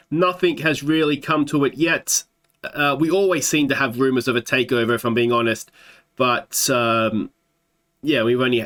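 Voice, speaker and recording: A man's voice: fairly high pitch, a bit bright. An Australian man in his thirties with a slight sore throat, chatting through a football management game at his desk mic, thinking aloud with fillers. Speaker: gaming YouTuber. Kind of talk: let's play commentary.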